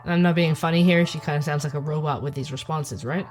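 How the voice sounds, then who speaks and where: An actor playing a dogged, wry young male investigative podcaster in an audio drama, with a dry, measured, sardonic delivery. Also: medium pitch, fairly even intonation, soft background music, close to an everyday voice. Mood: politely frustrated. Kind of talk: scripted narration and dialogue, audio drama.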